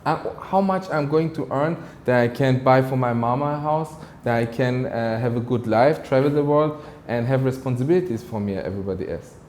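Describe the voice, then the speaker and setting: A man's voice: mid-range voice, brisk, a little mellow. A man from Germany giving earnest, motivational personal talk as an interview guest, often repeating his phrases. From Germany, of Iranian background. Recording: roomy echo with faint noise.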